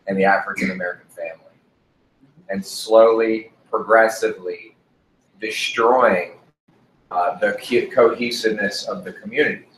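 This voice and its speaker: A man, a candidate, speaking earnestly at a panel discussion, measured with fillers. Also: on the low side, a bit bright. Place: restaurant room with brick walls. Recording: echoey room, faint noise.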